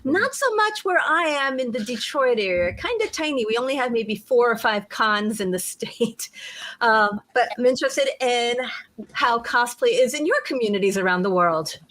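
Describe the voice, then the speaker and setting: A woman from the United States co-hosting a podcast, asking questions in a clear, organized way. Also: fairly high pitch, lively intonation, normal speed, fairly bright tone. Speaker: podcast co-host. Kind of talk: casual group chat. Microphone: video call.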